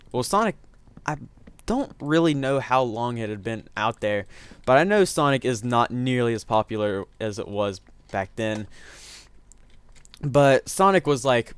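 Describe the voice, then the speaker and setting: A man's voice: medium pitch, clean tone, no echo. Chatty man with strained voice. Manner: rambling, self-correcting, chatty. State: voice strained from illness. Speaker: gaming commentator. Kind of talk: casual let's-play commentary.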